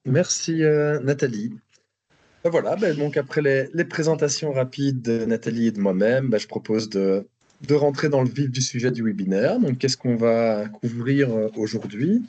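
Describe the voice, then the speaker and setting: A man's voice: medium pitch, lively intonation, normal speed, dark tone, dull audio. Belgian man hosting a webinar. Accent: Belgian French. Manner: hesitant, many restarts and fillers. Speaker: webinar presenter. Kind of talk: live online presentation. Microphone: video call.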